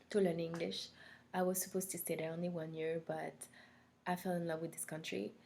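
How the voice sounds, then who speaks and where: A young woman from Corsica with a French accent, introducing herself as an online French instructor in a relaxed, unscripted way with frequent ums. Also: fairly low pitch, vocal fry, slight background noise. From Corsica, France. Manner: friendly, unscripted, with frequent ums and soft laughs. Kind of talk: self-introduction to an online class.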